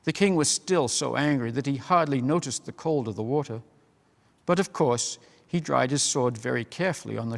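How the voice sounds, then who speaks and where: Male storybook reader, gravelly, slightly high, roomy reverb. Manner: measured storytelling. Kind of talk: reading a children's novel aloud. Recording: long hall reverb.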